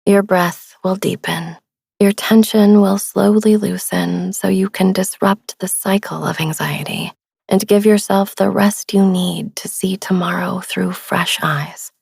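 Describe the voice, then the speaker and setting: A woman narrates guided relaxation softly and without hurry, close to the microphone in a very dry space. Her voice is breathy and on the low side, with noticeable creak. The delivery is gentle and soothing. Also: steady tone, measured pace, no echo. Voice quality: soft, breathy. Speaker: host of a sleep meditation podcast. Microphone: close studio microphone, dry.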